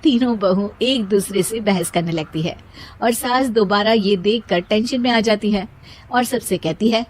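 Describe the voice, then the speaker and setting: A woman's voice: medium pitch, measured pace, a little hiss or hum, rough recording. A woman narrating a scripted moral story for children as the storyteller.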